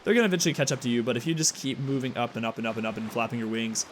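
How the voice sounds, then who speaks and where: A tired man with a slightly raspy voice and occasional cracks, chatting casually as he comments on a video game he plays. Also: medium pitch, slight background noise. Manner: rambling, chatty, quick asides and chuckles. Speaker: let's play host. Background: game music.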